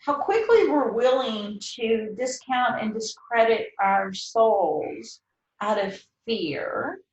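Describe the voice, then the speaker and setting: A woman's voice: a little high, animated, slow pace, lo-fi sound. A woman speaking earnestly and a little hesitantly in reflective conversation, with an echoey, roomy sound. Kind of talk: reflective conversation, quoting from a reading.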